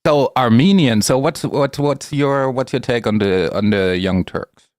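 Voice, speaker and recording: A man's voice: mid-range voice, a little slow, darkish tone, dry recording, clean studio sound. A man from Europe chatting casually, blunt and teasing, sometimes hesitating over words. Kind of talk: casual chat.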